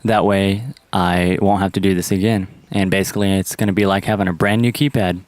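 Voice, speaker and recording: A man's voice: low-pitched, steady tone, fairly quick, no room sound. A man narrating a step-by-step repair tutorial in a plain, instructional manner. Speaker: how-to presenter.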